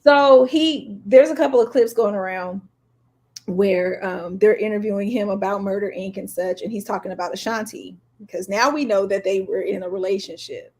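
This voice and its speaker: A woman's voice: mid-range voice, normal speed, darkish tone. An American woman giving candid, emphatic opinions in slangy, casual podcast chat as a co-host.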